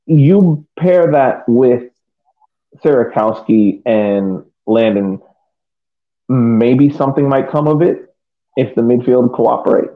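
A man giving casual match analysis as a podcast panelist, thinking aloud haltingly and repeating words. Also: medium pitch, lively intonation. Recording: thin, phone-like connection.

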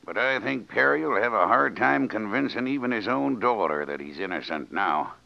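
Very gravelly scheming rancher villain. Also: male voice, lowish voice, animated, moderate pace. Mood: smooth, scheming. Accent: American Western. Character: scheming rancher villain posing as peaceable man. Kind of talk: old-time radio western acting. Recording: muffled old radio recording.

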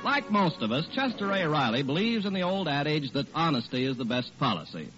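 A man announcing in 1940s radio style, delivering scripted sales pitch and narration with emphatic diction. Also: sing-song, brisk. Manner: emphatic, spells out words. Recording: muffled old radio recording with music.

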